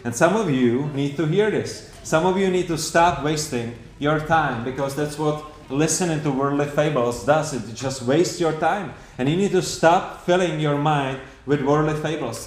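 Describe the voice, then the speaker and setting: A man's voice: fairly high pitch, moderate pace, hardly any pauses, roomy sound. A man with a Czech accent preaching a sermon to a congregation, earnest and repetitive, with many rhetorical questions and restarts.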